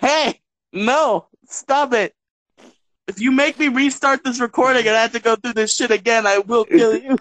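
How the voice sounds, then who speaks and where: A man's voice: very high-pitched, moderate pace, fairly bright tone, rough recording. A young man co-hosting a movie review podcast from his bedroom, laughing often and protesting in mock outrage. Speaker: podcast co-host. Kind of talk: casual podcast banter. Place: home bedroom.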